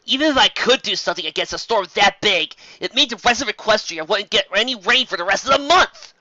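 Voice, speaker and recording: A man's voice: high-pitched, expressive, brisk, sharp tone, dry recording, pitched above the performer's own voice, full character voice. A man voicing a brash, sarcastic, impatient female pegasus in a storybook reading, in dull audio. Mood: grumbling.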